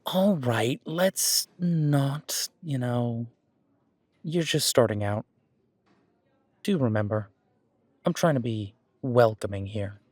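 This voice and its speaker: A man's voice: medium pitch, takes it slowly, long pauses, a little mellow, dry recording. A man playing a friendly, easygoing werewolf boyfriend who coaches his date at the gym in a casual, encouraging, rambling roleplay audio. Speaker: gym partner giving workout guidance. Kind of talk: roleplay audio drama, one-sided casual conversation.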